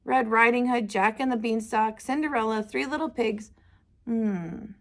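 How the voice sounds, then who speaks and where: A woman's voice: a little high, measured pace. A woman voicing a genial, teasing old grandfather elephant in a children's storybook. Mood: fond. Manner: playfully feigning forgetfulness, chuckling warmth.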